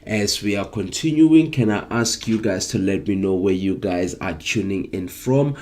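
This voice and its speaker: A young man hosting a live trading stream, sick with flu so his voice is less clear, upbeat and hyping up his viewers with plenty of ums. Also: on the low side, moderate pace, darkish tone. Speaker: trading session host.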